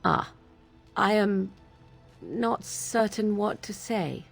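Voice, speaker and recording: An actress playing a proud, sharp-tongued young witch in a video game, with haughty, dramatic, old-fashioned speech. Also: medium pitch, expressive, creaky, soft background music, full character voice. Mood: anguished, pleading. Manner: haughty, dramatic, halting under emotion. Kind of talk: video game character acting.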